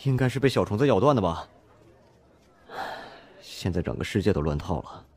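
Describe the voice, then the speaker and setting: A man's voice: low-pitched, lively intonation, normal speed, faint music behind, some chatter behind. An actor playing an anxious, wary young male student surviving a disaster in an audio drama. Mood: flustered.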